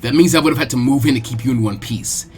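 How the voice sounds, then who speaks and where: A protective ninja mentor, performed by a male narrator, low in pitch and firm in delivery. He lectures with a wry edge, as in an audio storybook, over faint music. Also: fast talker, lightly acted. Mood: stern. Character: stern, protective adult male ninja squad leader.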